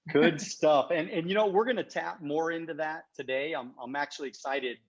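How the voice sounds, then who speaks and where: A man's voice: fairly high pitch, normal speed. A man hosting a casual interview, informal, circling round his questions and rephrasing them, on a muffled recording. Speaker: interview host. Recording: muffled, dull lo-fi audio.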